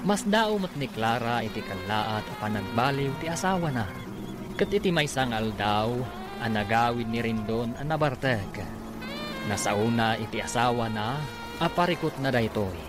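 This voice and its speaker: A man's voice: mid-range voice, animated, normal speed. A man narrating a radio drama from a script as the storyteller. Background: music under the voice.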